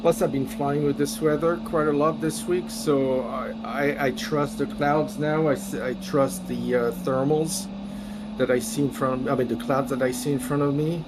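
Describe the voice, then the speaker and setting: Man, confident sim pilot, halting restarts, mid pitch, loud line noise. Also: fairly even intonation. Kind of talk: casual chat over voice comms.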